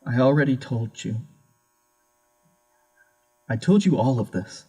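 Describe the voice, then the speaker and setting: A man's voice: mid-range voice, animated, takes it slowly, dark tone, slightly rough, poor audio. A man playing a distraught, defensive young husband under interrogation in an audio drama. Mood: weary, defensive.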